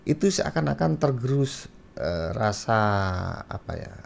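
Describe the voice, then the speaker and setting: A man from Madura, speaking as a talk show guest and pausing to search for words. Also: medium pitch, very animated, vocal fry, a little mellow, slight background noise. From Madura, Indonesia. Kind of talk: conversational personal anecdote.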